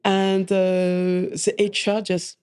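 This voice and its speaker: A woman talks casually as an interview guest about her work. Her voice is warm and mellow at an ordinary pitch. She laughs often and pauses with "uh" and small restarts. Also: level tone, clear, resonant tone. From France. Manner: chatty, laughs often, many fillers and restarts. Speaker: coffee trainer guest.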